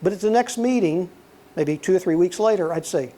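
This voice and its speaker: A man's voice: sits high, fairly quick. An older man with a soothing voice giving a conversational lecture, telling anecdotes and acting out dialogue. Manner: relaxed storyteller, acts out quoted dialogue. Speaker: guest lecturer, former intelligence officer.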